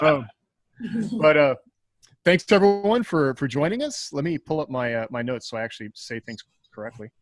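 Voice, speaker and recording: A man hosting a podcast in a loose, informal, halting way, with self-aware asides. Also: on the high side, expressive, no echo. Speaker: podcast host. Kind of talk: casual, loosely scripted podcast chat.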